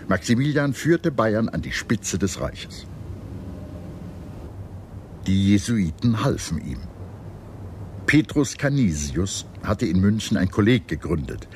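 Male history narrator, low register, measured formal scripted read, noisy background. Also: a little mellow. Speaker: documentary narrator.

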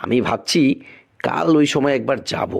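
A man's voice: mid-range voice, lively intonation, moderate pace, vocal fry, breathy edge, full character voice. A man playing a poor, anxious, scheming village farmer in a cartoon, with a theatrical, coaxing way of talking. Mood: coaxing, persuading. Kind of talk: cartoon voice acting.

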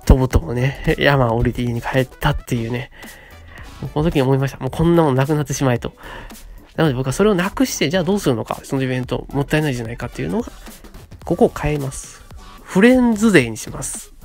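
A man of about thirty, telling stories and pitching ideas as a radio co-host in a polite, conversational way. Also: lively intonation, fast talker, darkish tone. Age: around 30. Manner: polite, conversational, acts out short quotes. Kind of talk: casual monologue, storytelling and pitching ideas. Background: background music.

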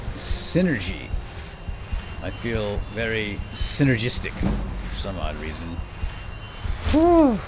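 A man giving playful, theatrical, lightly role-played video game commentary, talking to his companion as he plays. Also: medium pitch. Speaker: gaming video host. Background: game ambience and effects.

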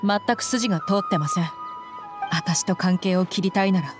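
A woman's voice: fairly low pitch, normal speed, natural voice. An actress playing a proud young female mercenary bodyguard in an anime game, blunt and terse, tough but wounded. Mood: angry, hurt. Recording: soft music behind the voice.

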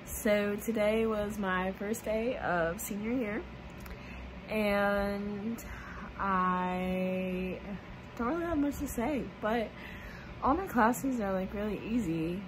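A teenage girl with a hoarse, strained voice, chatting casually and trailing off with ums as she vlogs into a phone held at arm's length. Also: medium pitch, takes it slowly. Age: teens. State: hoarse from laryngitis. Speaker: vlogger. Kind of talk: casual vlog narration. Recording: phone audio with loud background noise.

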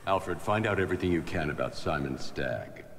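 A man's voice: very deep voice, lively intonation, fairly quick, fairly bright tone, slight background noise, heavily acted. A man playing a grim, stern vigilante crimefighter in a video game, speaking in terse commands. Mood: stern, controlled. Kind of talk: dramatic video game acting.

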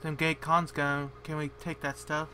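A man's voice: a little high, level tone, moderate pace, pushed and bright, slight background noise. A man giving casual, off-the-cuff video game commentary, muttering to himself in short fragments. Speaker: gamer, let's player.

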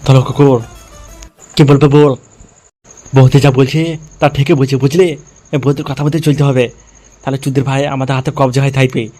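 A man's voice: mid-range voice, flat delivery, normal speed, long pauses, mellow. A man voicing a foul-mouthed, vengeful gang schemer in a comedy cartoon, with crude, threatening delivery. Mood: scheming. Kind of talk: comedy cartoon character dialogue. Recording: music behind the voice.

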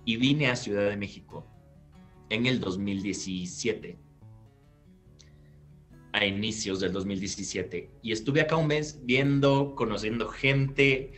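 A man, an actor recounting his career in an interview over a video call from a bright living room. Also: lowish voice, slow, long pauses, faint music behind. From Peru. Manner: animated, gestures while recounting, restarts phrases. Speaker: guest actor. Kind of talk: storytelling interview answer. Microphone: laptop webcam on video call.

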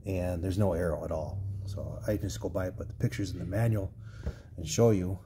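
A man's voice: deep voice, steady tone, a little fast, mellow, a little hiss or hum. A man working as a mechanic, explaining a repair step by step in a plain, matter-of-fact way from his garage workshop. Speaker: snowmobile mechanic. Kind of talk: hands-on repair tutorial.

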